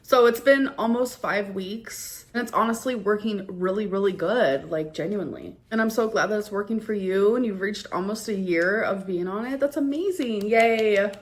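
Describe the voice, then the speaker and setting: A woman's voice: medium pitch, moderate pace, few pauses. A woman vlogger who talks casually and gushingly as she answers fan questions. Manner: gushing, lots of "like" fillers. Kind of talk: casual vlog talk.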